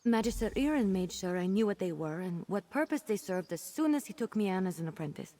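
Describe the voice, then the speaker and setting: A woman voicing an earnest, chatty young female mage apprentice in a video game, expressive and a little exasperated. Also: medium pitch, brisk, close to an everyday voice. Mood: earnest, concerned. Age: young adult. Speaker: quest-giving companion character. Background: game music.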